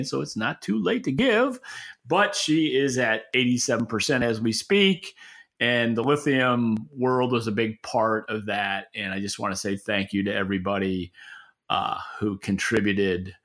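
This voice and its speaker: A man's voice: medium pitch, gravelly, fairly bright tone. A middle-aged American man co-hosting a podcast, speaking casually and off the cuff in a rambling, stop-start, plain-spoken way. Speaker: podcast co-host. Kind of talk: casual unscripted market talk.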